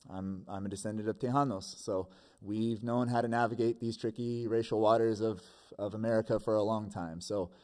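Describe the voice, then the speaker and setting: Young guy, real low flat voice, sincere, keeps restarting words, desk mic at a board meeting. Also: a little fast. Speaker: public commenter. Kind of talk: public comment at a meeting. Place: school board meeting room. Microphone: desk microphone.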